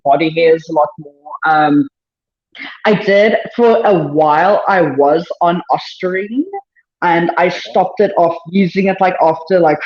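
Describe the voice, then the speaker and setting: A woman with a South African accent and a naturally deeper voice, talking candidly and self-deprecatingly as a podcast guest, rushing through thoughts with many fillers. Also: a little mellow. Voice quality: deeper than usual voice. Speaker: powerlifter guest. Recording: echoey room, dull lo-fi sound.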